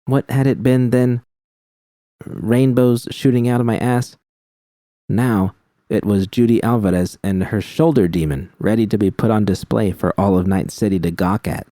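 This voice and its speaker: A man with a low voice reads first-person fiction in a steady, plain manner. His tone is mellow and the recording sounds dry and close. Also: moderate pace, no echo. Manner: steady, plain reading with frank profanity. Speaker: audiobook reader.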